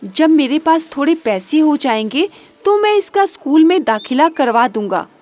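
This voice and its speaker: A woman's voice: high-pitched, expressive, moderate pace, darkish tone, soft background music. A woman voicing a poor, patient village shopkeeper father in a children's story, plainly and earnestly. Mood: apologetic, earnest.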